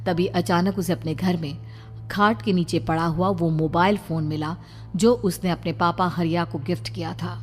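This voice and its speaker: Lowish-voiced woman narrating horror stories. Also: steady tone, normal speed, in a large hall, faint music behind. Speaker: storyteller. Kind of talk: dramatic scripted horror-story narration.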